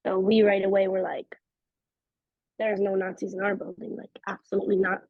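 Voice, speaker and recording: A woman with an Israeli accent tells her stories at a rapid clip, her voice gravelly and a bit husky. The sound is dry and dull, like a lo-fi recording. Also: mid-range voice, dry recording. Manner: fast, animated, acts out quoted voices. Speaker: interview guest. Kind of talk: casual personal storytelling.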